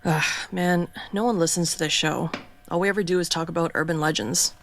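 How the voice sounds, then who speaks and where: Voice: mid-range voice, steady tone, brisk, vocal fry, a bit bright, a little hiss or hum. A performer playing a sarcastic radio co-host who trades insults and reads the news. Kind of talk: radio drama acting.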